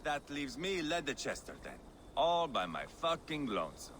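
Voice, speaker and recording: An actor playing a brash, crude Viking warrior in a video game, with a mocking, theatrical manner. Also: male voice, a little high, very animated, normal speed, fairly bright tone, slight background noise. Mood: grumbling. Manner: mocking, foul-mouthed, theatrical. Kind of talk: video game cutscene acting.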